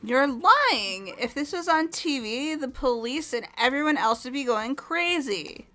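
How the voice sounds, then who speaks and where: A woman's voice: high voice, lively intonation, measured pace, pushed and bright, soft background music, full character voice. A woman playing an anxious, disbelieving teenage student in a fan-voiced video game. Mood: shocked, disbelieving.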